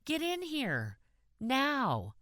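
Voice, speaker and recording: A woman acts out a lonely young divorced woman from an audiobook short story. She is bossy and worried, scolding with sharp questions. Her pitch climbs high and swings widely, in a dry, close recording. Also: wildly swooping pitch, extremely slow, no echo, full character voice. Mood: stern alarm. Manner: stern, bossy, interrogating. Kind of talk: audiobook character dialogue.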